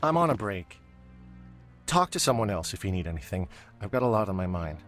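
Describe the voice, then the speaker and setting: A man's voice: low-pitched, very animated, brisk, a bit bright, faint music behind. A man playing a worried, plain-spoken civilian in a video game, talking earnestly in an everyday manner. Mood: preoccupied. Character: worried working man seeking his missing brother.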